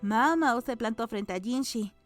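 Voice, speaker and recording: A woman's voice: on the high side, measured pace, soft background music. A woman reading a novel aloud as scripted audiobook narration.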